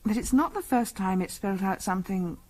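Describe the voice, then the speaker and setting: A woman's voice: medium pitch, expressive, brisk, darkish tone, natural voice. An actress playing an anxious English middle-class wife in a 1970s radio drama, earnest and hesitant as she defends her friend. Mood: worried, recounting.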